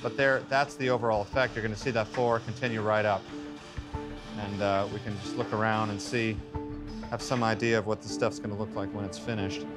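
A man's voice: fairly low pitch, steady tone, fairly quick. A middle-aged man giving an informal, slightly hesitant explanatory walkthrough of a film set. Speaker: film production designer. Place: large unfinished film set, indoors. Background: music.

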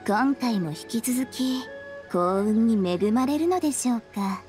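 An actress playing a polite, eerie contest host in an anime game, speaking formally and teasingly, like someone telling ghost stories. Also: female voice, fairly high pitch, animated, measured pace, dark tone, faint music behind. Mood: mysterious. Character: polite, eerie female contest host who relishes scaring people.

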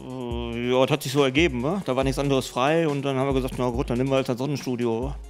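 A middle-aged man and spa owner answering interview questions in a brisk, colloquial way, speaking into a handheld mic. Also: mid-range voice, steady tone, faint music behind. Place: indoor spa studio. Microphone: handheld mic held by host.

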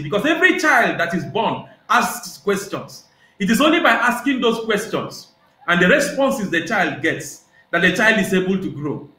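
A middle-aged man with a Nigerian accent speaks in a high, bright and forceful voice. He builds slow, rhetorical points full of hesitant pauses. His video call audio rings with a noticeably echoey room. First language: Igbo. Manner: measured, rhetorical, many hesitations. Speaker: guest professor. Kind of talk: reflective interview answers. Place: small indoor room.

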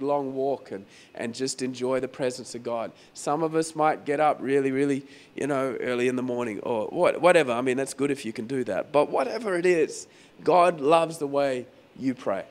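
A man preaching a sermon as pastor in a croaky, hoarse voice, talking conversationally and repeating phrases for emphasis. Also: medium pitch. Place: venue hall during a church service.

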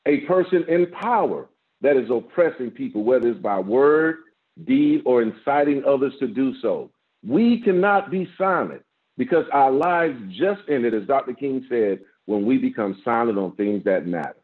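A man in his fifties delivers a sermon over a video call, his strong, mid-pitched voice measured and building to emphatic peaks. The sound is thin and phone-like, as laptop call audio tends to be. He speaks from a small office. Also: expressive. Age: 50s. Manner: measured preaching, rising with emphasis, repeats words. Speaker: guest pastor. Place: office with framed certificates, indoors. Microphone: video call, laptop camera.

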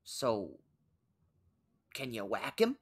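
A man's voice: on the low side, very animated, very slow, breathy edge, heavily acted. A man playing a petulant, aggrieved schoolboy in a comedic radio play. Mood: wheedling. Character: petulant, aggrieved schoolboy son of mob boss.

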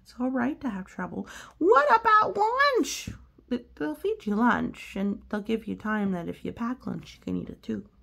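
Reassuring woman with a creaky, husky voice. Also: medium pitch, moderate pace, few pauses, a little mellow. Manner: reassuring, explanatory. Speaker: storybook reader. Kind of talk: read-aloud commentary for young children.

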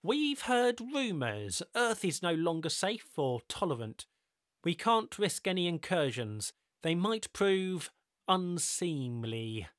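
A man voicing a calm, courteous godlike male overseer with smooth, measured, faintly weary politeness. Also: high voice, no room sound, clean studio sound, voice pushed up, heavily acted.

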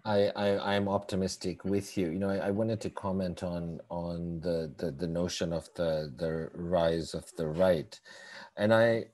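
A middle-aged man from Canada, born in East Africa, speaking thoughtfully over a video call with many halting repeats. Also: low voice, fairly even intonation, a little mellow. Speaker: conversation partner. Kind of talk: reflective video-call conversation.

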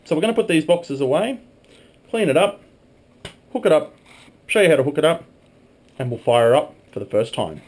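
A man's voice: a little high, lively intonation, fairly quick, long pauses. A man in his twenties giving a casual, chatty hands-on narration as a video blogger, recorded on a handheld camera in a small room at home. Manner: informal, chatty. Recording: faint background noise.

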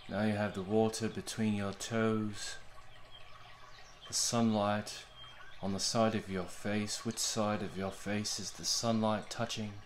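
Male meditation guide, gentle and even-toned, very slow delivery, faint background noise with water sounds. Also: on the low side. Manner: gentle, unhurried, with long pauses between short phrases. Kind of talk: guided meditation, soothing narration.

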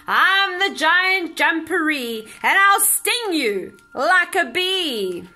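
A woman voicing a loud, boastful, menacing monster in a children's storybook, with slow, drawn-out booming lines. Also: sing-song, exaggerated voice.

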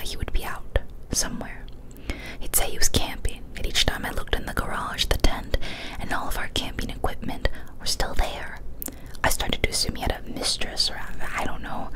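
Young woman doing asmr creepypasta narration, all soft breathy whisper, right up on the mic. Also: normal speed, rough recording. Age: young adult. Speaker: ASMR storyteller. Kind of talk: ASMR whispered horror story reading. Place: small decorated room indoors. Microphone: large studio mic with foam cover, very close.

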